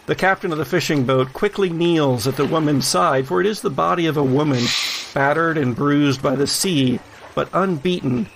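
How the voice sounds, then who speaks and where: A man's voice: fairly high pitch, moderate pace, vocal fry, a bit bright, a little hiss or hum, rough recording. A man narrating a scripted audio drama in a dramatic storytelling style. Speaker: narrator and game master.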